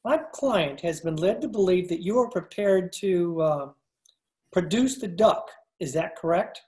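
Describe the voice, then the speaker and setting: Lowish-voiced, courteous crooked lawyer, acted by a woman. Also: unhurried, mellow, close to an everyday voice. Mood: courteous, businesslike. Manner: formal, courteous, hesitant. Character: polite, evasive mob lawyer. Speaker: amateur radio play actor. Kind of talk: 1940s radio crime drama acting. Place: at home, recorded over video call.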